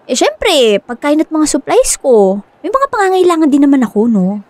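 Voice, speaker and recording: A woman acting in a radio drama as a doting, playful young dog owner, cooing and expressive. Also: high voice, normal speed, dry recording. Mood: cheerful, insistent.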